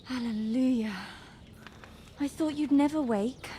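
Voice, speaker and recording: A homely young village maid in a game cutscene, her voice sitting a touch high. She talks in a rustic, down-to-earth way, kind and practical. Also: measured pace, warm tone. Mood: caring. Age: young adult. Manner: folksy rustic speech, down-to-earth. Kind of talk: video game cutscene dialogue.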